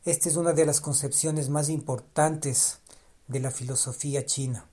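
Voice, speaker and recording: Halting man musing on a podcast. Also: fairly high pitch, steady tone, dark tone. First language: Spanish. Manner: halting, restarts phrases, repeats ideas for emphasis. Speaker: podcast host. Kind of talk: reflective podcast monologue.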